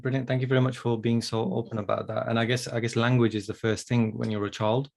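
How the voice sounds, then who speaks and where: Fast-talking man with a gravelly voice. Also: medium pitch, little pitch movement. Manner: warm thanks, rambling questions. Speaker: host. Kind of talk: moderating a video panel.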